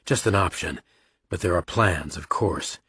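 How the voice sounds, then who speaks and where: A man's voice: deep voice, unhurried, sharp tone, slightly rough, no echo, heavily acted. A man voicing a weary, middle-aged military father in an audiobook, subdued, terse and matter-of-fact. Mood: grave, terse.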